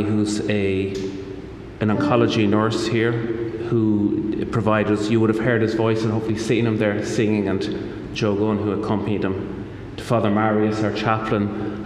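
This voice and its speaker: Irish bishop in an echoey chapel. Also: male voice, lowish voice. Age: middle-aged. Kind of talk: liturgical address and blessing. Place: hospital chapel. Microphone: microphone on stand at lectern. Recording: echoey chapel with long reverb, noisy.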